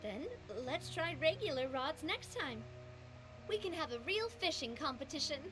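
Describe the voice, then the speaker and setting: A woman's voice: sits high, expressive, moderate pace, over music. A woman playing a spirited, confident young female leader in an anime video game, teasing and upbeat. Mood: friendly, upbeat.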